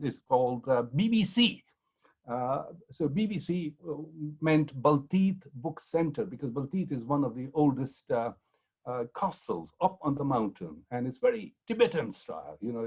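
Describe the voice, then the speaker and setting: Husky-voiced man. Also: a little high, a little mellow. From Pakistan. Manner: rambling, many ums and restarts. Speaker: historian on a panel. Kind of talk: anecdotal storytelling in a panel talk.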